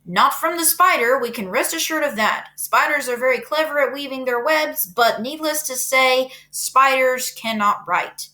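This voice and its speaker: A woman voicing a pompous male fair announcer speaking over a loudspeaker, with grand, booming delivery. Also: normal speed, hardly any pauses, poor audio. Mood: grandiose.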